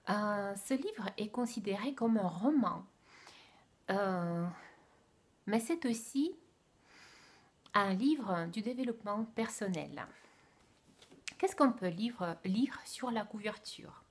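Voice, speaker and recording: A woman from Russia speaking French as a second language with a Russian accent, giving a casual book review to the camera with careful, hesitant phrasing and soft whispered asides. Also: mid-range voice, animated, slow pace, pauses often, husky.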